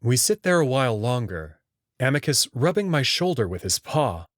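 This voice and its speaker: A man's voice: mid-range voice, sing-song, normal speed, no echo, clean studio sound, lightly acted. A man playing an anxious, skeptical young human hero who narrates his own story in a visual novel, in a plain, casual, wry way. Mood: uneasy, reflective. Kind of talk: first-person visual novel narration and dialogue.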